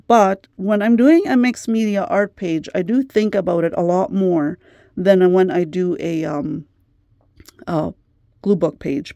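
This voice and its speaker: A middle-aged woman hosting a craft vlog who chats gently with plenty of fillers, her voice slightly worn as if she is losing it. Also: on the low side, dry recording, studio quality. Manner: gentle, chatty, many fillers. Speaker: craft channel host. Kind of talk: casual craft vlog chat.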